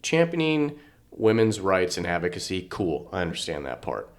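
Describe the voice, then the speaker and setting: Man, hoarse, low voice, lively pitch swings, rambling, joking podcast chat. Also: normal speed. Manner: joking, rambling with many fillers. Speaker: podcast co-host. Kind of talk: casual sports podcast chat.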